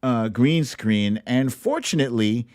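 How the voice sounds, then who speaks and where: A man's voice: mid-range voice, expressive, measured pace, a little mellow, no room sound, clean studio sound. A man hosting a casual political commentary livestream in a chatty, incredulous, amused way.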